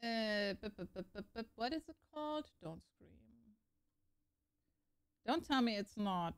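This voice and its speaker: A woman's voice: on the high side, expressive, a little slow, clean tone. A young woman streaming a game, mumbling to herself and making dry sarcastic remarks. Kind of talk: casual gameplay commentary.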